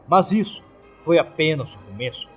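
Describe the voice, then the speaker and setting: A man with a Brazilian accent narrates a scripted horror story in a husky, theatrical voice. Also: on the high side, very animated, moderate pace. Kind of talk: scripted dramatic narration of a creepypasta. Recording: background music under the voice. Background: music.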